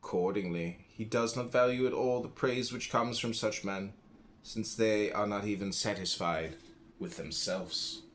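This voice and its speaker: A man reading a philosophy book aloud in a solemn, deliberate way, phrase by phrase with pauses. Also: lowish voice, background noise. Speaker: audiobook reader.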